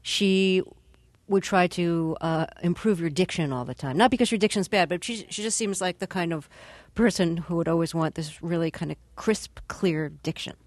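A woman hosts a radio interview and speaks in a measured, thoughtful way. Her voice is slightly low. The sound is close and dry. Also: no room sound. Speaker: radio host.

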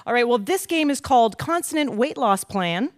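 A woman's voice: fairly high pitch, animated, normal speed. A woman hosting a live quiz show, chatting with contestants in an upbeat, bantering way. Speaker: game show host.

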